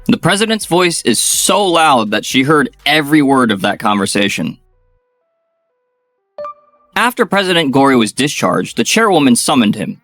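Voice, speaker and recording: A man's voice: mid-range voice, very animated, moderate pace, a bit bright, faint music behind. Man narrating a manga dub. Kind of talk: scripted narration.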